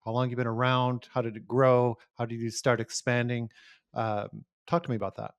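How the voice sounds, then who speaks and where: A man co-hosting a podcast, asking a brisk string of questions. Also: mid-range voice, little pitch movement, clean tone, no room sound, muffled recording. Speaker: podcast co-host. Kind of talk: podcast interview.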